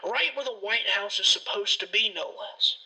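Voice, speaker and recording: A man's voice: high-pitched, normal speed, vocal fry, sharp tone, slightly rough, full character voice, low-quality recording. An actor playing a defiant, paranoid male conspiracy-theorist host in a radio drama, with a folksy, suspicious, ranting manner. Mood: defensive. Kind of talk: radio drama phone interview.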